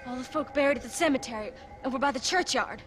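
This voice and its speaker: A woman's voice: sits high, quick pace, a bit bright, faint music behind. A woman voicing a frightened young country girl in a game cutscene, tearful and rambling. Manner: tearful, rambling, rural folksy speech. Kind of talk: video game cutscene acting.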